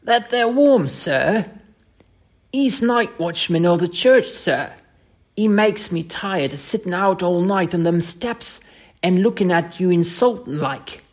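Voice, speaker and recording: A reader voicing a young Cockney ex-soldier servant in an audiobook story, rough-spoken, emphatic and deferential. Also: medium pitch, lively intonation, slow pace, pitched above the performer's own voice. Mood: indignant, deferential.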